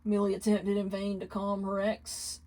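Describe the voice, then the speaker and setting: Woman, story reader, mid-pitched voice, scripted fan fiction narration. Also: monotone, moderate pace, darkish tone.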